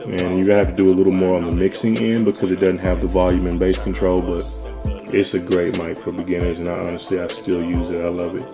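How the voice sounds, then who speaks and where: A young man with a bassy voice, giving a laid-back, slangy home-studio tutorial. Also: monotone, quick pace, over music. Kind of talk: casual tutorial talk.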